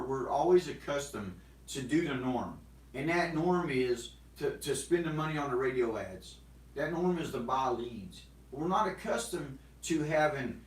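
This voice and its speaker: A man in his forties hosting a casual, energetic sales talk to camera, rambling with restarts. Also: medium pitch, roomy sound, far from the mic, rough recording. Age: 40s. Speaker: host. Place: small studio room with banners and props.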